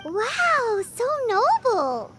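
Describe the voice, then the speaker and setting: A woman voicing a tiny, childlike, excitable fairy companion in a video game, with a squeaky voice. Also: very slow, heavily acted. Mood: eager. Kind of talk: scripted video game dialogue. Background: game music.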